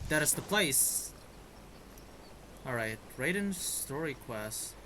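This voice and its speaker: A man's voice: a little high, expressive, slow pace, background noise. A man giving casual, informal playthrough commentary as a game streamer, reacting as he goes. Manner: informal, muttered reactions with mild swearing.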